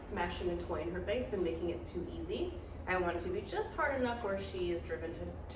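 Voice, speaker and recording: Stuffy-voiced woman demoing dog play. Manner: relaxed explaining with fillers, high-pitched praise to the dog. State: stuffy from a cold. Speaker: dog trainer. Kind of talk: instructional dog training demo. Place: training space with a dog present. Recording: echoey, mic far off, background noise.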